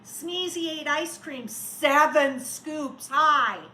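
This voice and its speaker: Woman, high bright voice, children's storybook reader, expressive, coaxing, teacherly. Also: extremely slow. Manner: expressive, coaxing, talks directly to young children. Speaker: teacher reading a storybook aloud. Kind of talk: interactive children's read-aloud. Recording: roomy echo, faint background noise.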